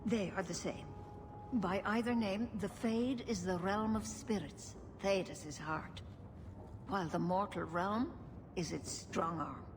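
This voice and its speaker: An actress playing a wise, grave elven keeper in a video game, formal and measured. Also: female voice, medium pitch. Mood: grave, instructive. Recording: noisy game ambience behind.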